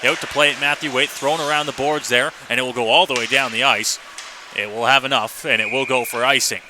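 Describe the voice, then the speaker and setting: A man's voice: medium pitch, fairly even intonation, brisk. A man giving live radio play-by-play commentary of a hockey game. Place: commentary position in an indoor hockey arena. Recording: noisy arena ambience behind.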